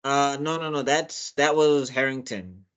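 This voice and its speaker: Male co-host, loose rambling recap delivery, mid pitch. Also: a bit bright, smooth. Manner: rambling, halting with many fillers and restarts. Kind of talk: casual chat.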